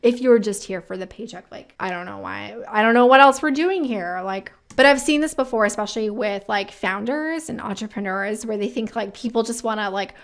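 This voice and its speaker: A woman's voice: mid-range voice, brisk, creaky, few pauses. A woman giving casual, chatty commentary as a video host, opinionated and informal with slangy asides. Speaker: career advice video host.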